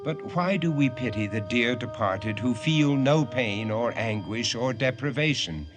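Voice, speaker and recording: An actor playing a solemn, reflective narrator introducing a radio drama in a deliberate manner. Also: male voice, mid-range voice, animated, lightly acted. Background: music.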